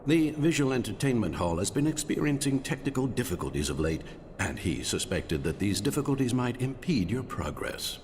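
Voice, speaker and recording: An actor playing a courteous, unctuous mayor's aide in a video game, speaking politely and formally. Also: male voice, mid-range voice, big pitch swings, normal speed, a little mellow, slight background noise, lightly acted. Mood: smoothly deferential. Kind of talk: dramatic video game acting.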